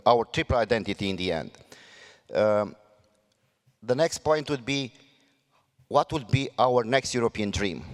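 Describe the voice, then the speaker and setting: A man with a Romanian accent speaks in earnest, halting English, stopping often to search for words. His voice sits at an ordinary middle pitch. A long hall reverb trails after him, as at a conference. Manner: halting, many fillers and restarts, earnest. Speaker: mayor on a panel. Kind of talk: panel debate remarks.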